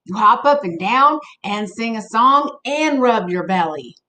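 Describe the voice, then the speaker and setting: A woman's voice: a little high, expressive, a little slow, pushed and bright, a little hiss or hum. A woman voicing an eager storybook friend who gives emphatic, knowing instructions. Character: eager storybook friend listing silly remedies.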